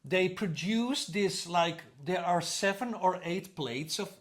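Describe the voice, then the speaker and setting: A man co-hosting a podcast, telling stories casually in an expressive, theatrical way. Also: sits high, takes it slowly. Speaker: podcast co-host. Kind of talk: casual storytelling chat.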